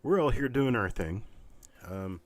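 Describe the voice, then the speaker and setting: A man hosting a casual live online auction stream, chatting in a rambling, halting, folksy way with a scratchy, stuffed-up voice weakened by illness. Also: fairly low pitch, animated, dry recording. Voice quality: congested, stuffed-up nose. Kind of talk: casual livestream chat with viewers.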